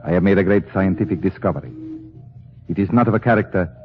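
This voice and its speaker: An actor playing a grave, sinister professor with formal, measured, persuasive speech in an old radio drama. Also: male voice, low voice, soft background music, lightly acted. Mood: intense, commanding. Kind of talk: 1940s radio acting. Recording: tinny, noisy old radio broadcast.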